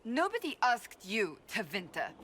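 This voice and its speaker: A woman's voice: medium pitch, wildly swooping pitch, a little slow, fairly bright tone, a little hiss or hum. An actress playing a stern, proud woman warrior in a video game, speaking in an earnest, emphatic, formal way. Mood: flustered, defensive. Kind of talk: video game cutscene acting.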